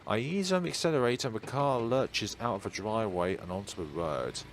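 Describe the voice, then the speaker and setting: A man with a lowish voice reads visual novel narration aloud. His pitch moves around a lot, and he drops in casual remarks and corrects small slips. There is clear noise behind him. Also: animated, brisk. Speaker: game player reading a visual novel aloud.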